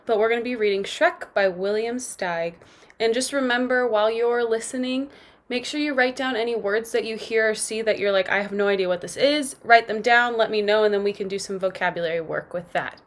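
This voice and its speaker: A woman teacher with a fairly high voice reads a children's storybook aloud. Her delivery is that of classroom narration, addressed to pupils. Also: brisk.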